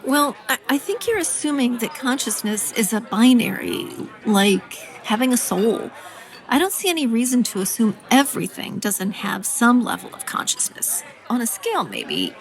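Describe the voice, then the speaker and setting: A woman acting in an audio drama as a thoughtful academic, reasoning in a considered, gently contradicting way. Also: fairly high pitch, very animated, normal speed. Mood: thoughtful disagreement. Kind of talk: naturalistic audio drama dialogue.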